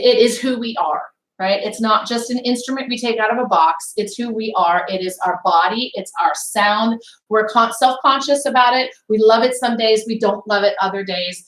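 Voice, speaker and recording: Woman, voice teacher, encouraging, questions the group, higher pitch, recorded far off in a roomy space. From came from Baltimore. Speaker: voice teacher, guest clinician. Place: rehearsal room with a group. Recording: echoey room, mic far off.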